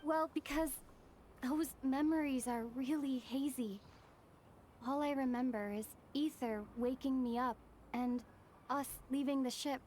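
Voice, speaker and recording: A woman's voice: high-pitched, fairly even intonation, a little slow, darkish tone, a little hiss or hum. A woman playing a thoughtful, earnest young female traveler in a video game. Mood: hesitant, earnest.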